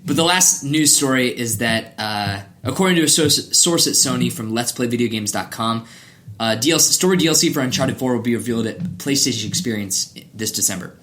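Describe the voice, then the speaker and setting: Male podcast host, mid-pitched voice, chatting casually and offering opinions. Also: expressive, a little fast, a bit bright. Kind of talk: casual chat about games and movies.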